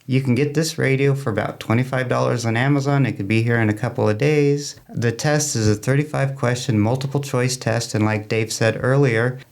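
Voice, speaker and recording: A man's voice: medium pitch, steady tone, fairly quick, creaky, few pauses. A man talking casually as an interview guest, explaining through anecdotes and acted-out quotes. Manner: anecdotal, acts out quoted remarks. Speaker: interview guest, amateur radio club member.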